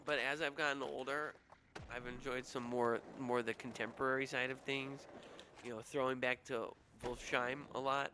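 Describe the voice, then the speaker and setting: A man's voice: medium pitch, flat delivery, normal speed, sharp tone, slight background noise. A young adult man with a nasal, whiny voice, rambling casually and making crude jokes while streaming a game.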